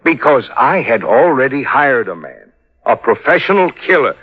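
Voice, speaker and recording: Husky, anguished aging businessman. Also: medium pitch, big pitch swings. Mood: desperate. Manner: melodramatic, emphatic, indignant, halting for effect. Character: aging businessman, wronged father, bitter, anguished, self-important. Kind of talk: old-time radio melodrama acting. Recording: muffled vintage radio recording.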